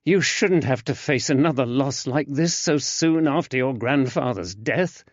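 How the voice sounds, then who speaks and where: Adrian, a man doing an earnest young gentleman. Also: mid-range voice, unhurried, no room sound, pitched above the performer's own voice, lightly acted. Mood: soothing. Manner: soothing, urgent. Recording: dull lo-fi, dry.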